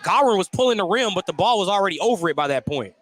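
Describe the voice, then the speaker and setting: Higher-pitched man calling live basketball. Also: expressive, very fast, a bit bright, soft background music. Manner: clipped, descriptive call of each play. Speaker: play-by-play announcer. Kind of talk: live basketball radio commentary. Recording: noisy live sports broadcast.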